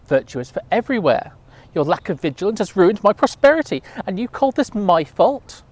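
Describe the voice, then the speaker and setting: A man voicing a small, brave mouse in a storybook tale, speaking high and squeaky as it scolds. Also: very animated, a little fast, darkish tone. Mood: scolding.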